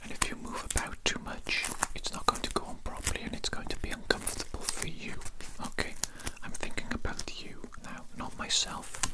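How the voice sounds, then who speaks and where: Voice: natural voice, low-quality recording. Whispering British evil mad doctor acted out. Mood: soothing menace. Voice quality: breathy, unvoiced whisper. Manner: slow, deliberate, repeats phrases. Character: evil mad scientist doctor, menacing yet fussy, kidnapper. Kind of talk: whispered ASMR roleplay.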